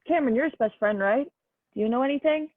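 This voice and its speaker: Young actress as kindly, quirky gamer, higher-pitched, chatty, tinny phone-like student play recording. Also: female voice, fast talker, dry recording. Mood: concerned. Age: young adult. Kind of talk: student one-act play acting. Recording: tinny dry phone-like audio.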